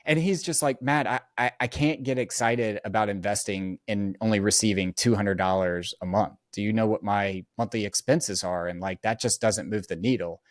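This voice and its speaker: A man's voice: on the low side, normal speed, few pauses, dry recording, studio quality. A man guesting on a podcast as a real estate investor, easygoing, agreeing often and telling anecdotes. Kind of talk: casual interview chat.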